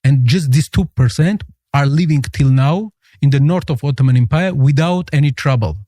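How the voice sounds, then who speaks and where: A man with an Armenian accent, a guest answering interview questions in earnest, halting English. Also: a little high, dark tone, no echo, clean studio sound. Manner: earnest, halting, searching for words.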